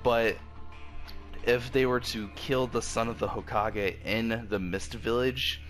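A man's voice: mid-range voice, steady tone, slow, fairly bright tone. A man with a sore throat reviewing an anime episode in a casual, rambling way, full of fillers and restarts. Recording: faint background music.

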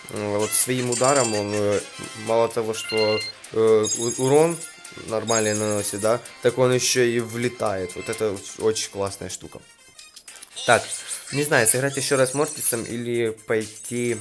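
Slightly hoarse man giving chatty gameplay commentary. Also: fairly low pitch. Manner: chatty, thinks aloud, frequent restarts and throat clearing. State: slightly hoarse from a sore throat. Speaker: gaming YouTuber.

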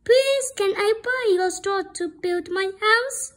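A woman's voice: extremely high pitch, takes it slowly, voice pushed up. A woman voicing a polite but plucky little male pig in a storybook, with emphatic, childlike delivery. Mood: polite, eager.

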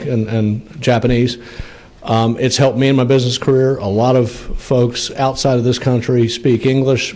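A middle-aged man speaks at a podium microphone in a committee room. He explains a bill at an even middle pitch and keeps stopping for fillers and restarts. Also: rough recording. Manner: halting, many fillers and restarts. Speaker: state legislator presenting a bill. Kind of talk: committee testimony.

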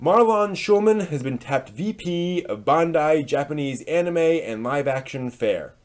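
A man's voice: mid-range voice, a little mellow. A man in his thirties hosting a dramatic reading of old online posts with spoken asides, theatrical and emphatic, recorded in a small room at home. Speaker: host.

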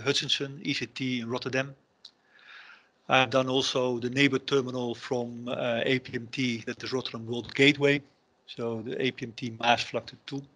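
A middle-aged Dutch-accented man presents over a headset on a video call. His voice has a bright, forward edge at a mid pitch. He pauses often with ums and restarts his sentences. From Netherlands. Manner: hesitant, many ums and restarts, non-native phrasing. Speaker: conference presenter, terminal IT director. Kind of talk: online conference presentation.

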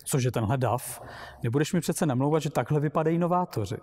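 A man's voice: fairly high pitch, a little mellow, lightly acted. A man voicing a cool, hard-to-please rival robot inventor in a storybook reading, pedantic and dismissive. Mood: dissatisfied.

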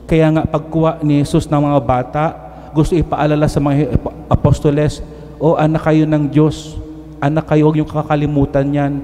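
A man's voice: on the high side, little pitch movement, a little fast, warm tone. A middle-aged Filipino man, a priest giving an earnest, emphatic homily at a stand mic in a large church, switching between languages. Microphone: stand mic at pulpit. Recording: reverberant church hall.

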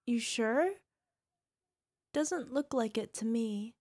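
A woman's voice: fairly high pitch, slow pace, no echo, studio quality. A woman playing a sweet, menacing yandere wife. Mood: doting. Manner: sweetly coaxing, turning quietly menacing. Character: possessive yandere young wife, sweet but controlling. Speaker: voice actress. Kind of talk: ASMR roleplay audio drama.

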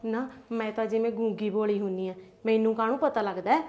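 Woman doing a sulky aunt, real high voice, taunting, all hurt feelings. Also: moderate pace, lo-fi sound. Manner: taunting, complaining, rhetorical questions. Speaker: sister-in-law of the mother. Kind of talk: family skit acting.

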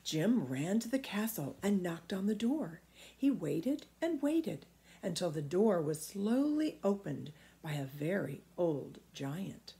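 A middle-aged woman reading a picture book aloud to children in expressive narration, recorded in a room at home. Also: on the low side, takes it slowly, creaky. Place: small indoor room at home.

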